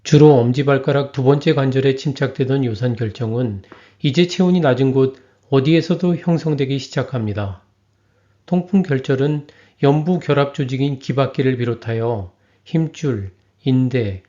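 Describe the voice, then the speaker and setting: A man reading a scripted health lecture in an even, measured way. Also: mid-range voice, highs cut off.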